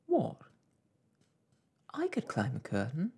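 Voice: mid-range voice, wildly swooping pitch, slow pace, vocal fry, darkish tone, breathy edge, pitched above the performer's own voice, heavily acted. A storyteller voicing a bold, eager young daughter in a children's storybook, earnest and pleading. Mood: sudden excitement.

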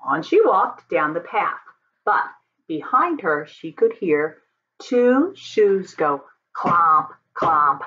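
A middle-aged woman reads a children's story aloud. Her pitch moves up and down in a lively way, and she takes her time with deliberate, dramatic pauses. The webcam recording sounds a little dull. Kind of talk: expressive picture-book read-aloud. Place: home office, indoors.

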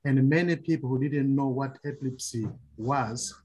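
Husky Mozambican man with a Portuguese accent. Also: medium pitch, normal speed, a little mellow. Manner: careful, hesitant non-native English. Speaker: epilepsy advocate. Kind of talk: online meeting talk. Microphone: video call.

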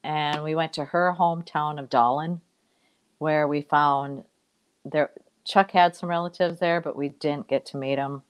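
Woman, middle-aged, guest, low pitch, rambling reflective storytelling, webcam call from home. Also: fairly even intonation, unhurried, clear, resonant tone. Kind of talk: casual storytelling in an interview. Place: room at home. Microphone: webcam on a video call.

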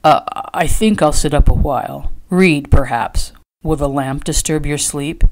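Woman voicing a gruff, stubborn rancher man. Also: low voice, unhurried, a little mellow, a little hiss or hum. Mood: conceding. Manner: growling, terse.

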